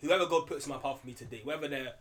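Young guy on a podcast, talks super fast and bright, earnest, keeps repeating himself. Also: on the high side. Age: young adult. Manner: earnest, rambling with repeated words. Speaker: podcast panelist. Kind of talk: casual discussion.

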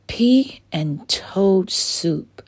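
A woman voicing a caring mother dragon in a bedtime story, soft and fussing, sometimes whispering. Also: mid-range voice, expressive, very slow, no room sound, clean studio sound. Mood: tender.

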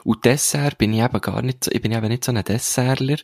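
A man's voice: medium pitch, quick pace, vocal fry, darkish tone, dry recording, studio quality. A man with a Swiss German accent in a casual podcast chat, talking colloquially and anecdotally with slang and frequent tag questions. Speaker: podcast co-host.

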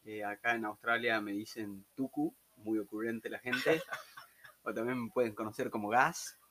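A man's voice: lowish voice, fairly even intonation, moderate pace, fairly bright tone. A man from Tucumán with an Argentine accent, a podcast guest chatting casually and joking as he talks. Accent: Argentine Spanish. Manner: jokey, laughs while speaking. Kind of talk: casual interview chat.

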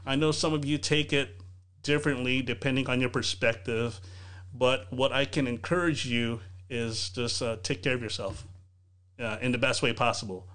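A man's voice: medium pitch, fairly even intonation, moderate pace, a bit bright. Chatty man hosting a podcast. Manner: chatty, rambling with many fillers and restarts. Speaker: podcast host. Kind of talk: casual chat on a live video podcast. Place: bedroom at home. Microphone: video call.

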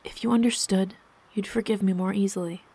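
A woman's voice: mid-range voice, fairly even intonation, fairly quick, warm tone, husky. A woman voicing an upset, jealous young wife in a storybook, emotional and defensive. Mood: pleading, hurt. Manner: pleading, emotional.

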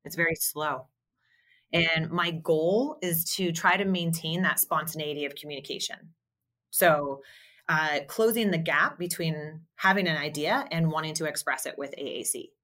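A woman speech-language pathologist talks a guest through her work in a measured, explanatory way. Her voice sits low but has a bright, forward edge. She pauses on fillers and restarts her sentences now and then. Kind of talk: conversational interview answers.

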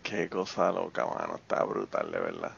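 A man's voice: extremely low pitch, sing-song, normal speed, gravelly, husky, dull audio. A man hosting a podcast in casual chat, reacting to his guest and swearing for emphasis. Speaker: podcast host.

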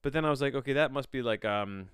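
Smooth low guy voice doing a solo podcast, keeps restarting his sentences, kinda bemused, dry close mic. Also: a bit bright, no echo. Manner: halting, self-interrupting, often backtracking mid-sentence. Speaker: podcast host. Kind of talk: rambling solo podcast chat.

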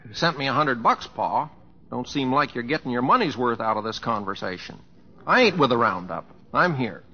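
An actor playing an easygoing, restless young cowboy in an old radio drama. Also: male voice, mid-range voice, expressive, moderate pace, slightly rough. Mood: sheepish, folksy. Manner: drawling, plain-spoken, folksy. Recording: dull old radio recording.

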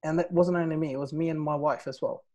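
A man's voice: fairly high pitch, steady tone, quick pace, mellow. A man from Nepal in his thirties, a podcast guest telling his own story in casual talk, leaning on "right?" and "like, you know".

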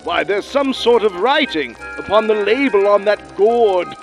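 High-voiced pompous crusader knight. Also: male voice, measured pace, over music. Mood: hearty, grandiose. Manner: pompous mock-archaic declaiming. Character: pompous medieval crusader knight, hearty and zealous. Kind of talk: parody 1940s radio play acting.

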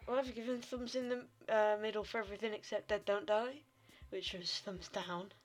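A woman's voice: mid-range voice, steady tone, moderate pace. A young woman co-hosting a casual radio show, chatting in a dry, unimpressed way. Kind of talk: casual chat.

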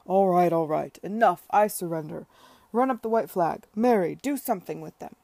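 A woman reading the part of a cheerful middle-aged man who bellows with excitement. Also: on the low side, moderate pace, vocal fry, dry recording. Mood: rowdy. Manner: bellowing, jubilant. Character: boisterous middle-aged man playing naval battles.

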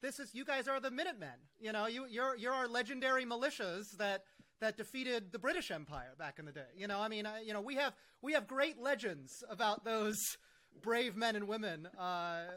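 Man from the US, interviewer, bright tense tone, emphatic, self-interrupting. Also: high-pitched. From United States. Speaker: interviewer, host. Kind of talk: conversational interview.

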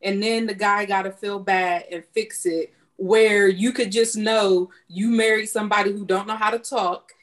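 A woman's voice: medium pitch, steady tone, moderate pace, few pauses. A woman giving blunt opinions in a casual podcast debate, with apologetic asides.

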